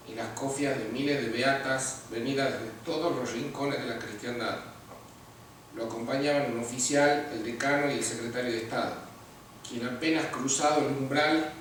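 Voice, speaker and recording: Soft-spoken Argentine man in echoey hall. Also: medium pitch. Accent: Argentine Spanish. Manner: measured and thoughtful, pausing with fillers. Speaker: literature teacher and award-winning writer. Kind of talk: interview answers, then reading his story aloud. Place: large room at an award ceremony. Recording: echoey room, far from mic, noisy.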